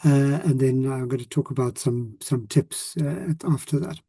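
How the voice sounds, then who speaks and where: A man in his sixties with a South African accent, a retired language teacher talking casually to former students, often pausing with ums and restarting sentences. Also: mid-range voice, gravelly, warm tone, slightly rough, dry recording. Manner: hesitant, many ums and restarts.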